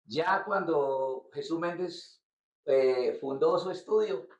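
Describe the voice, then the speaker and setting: A man from Colombia, a musician being interviewed, talking casually and haltingly, often repeating words as he searches for them. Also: a little high. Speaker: guest musician. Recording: roomy, distant, dull lo-fi sound.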